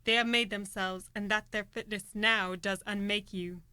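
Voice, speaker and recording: A woman's voice: mid-range voice, steady tone, normal speed, pushed and bright, clear, resonant tone, no room sound, natural voice. A young woman acting a ruthless, ambitious noblewoman who goads in emphatic Shakespearean verse for a student radio play. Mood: fierce, goading. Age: young adult. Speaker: student actor.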